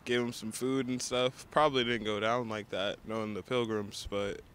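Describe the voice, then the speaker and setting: A man born in Nigeria who grew up in Detroit, answering street interview questions in a relaxed, offhand way. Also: lowish voice, moderate pace, smooth, no room sound.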